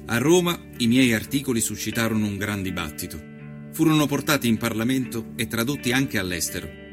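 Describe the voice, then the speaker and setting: A man's voice: medium pitch, lively intonation, a little fast, lightly acted. Man performing an earnest old-time journalist over music. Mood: solemn, earnest. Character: earnest 19th-century Italian investigative journalist recounting his travels. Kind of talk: scripted dramatic narration, radio storytelling.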